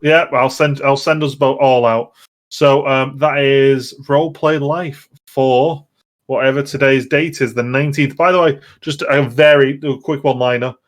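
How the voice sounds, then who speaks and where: A man's voice: mid-range voice, steady tone, normal speed. A man hosting a casual podcast chat, rambling along with light banter. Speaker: podcast host.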